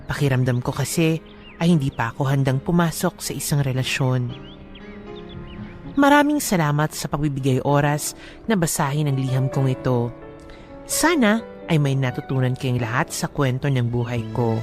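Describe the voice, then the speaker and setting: A woman's voice: a bass voice, expressive, moderate pace, pauses often, darkish tone, soft background music. A woman radio co-host who reads listener letters and chats about them in a conversational way. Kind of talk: letter reading and lively chat.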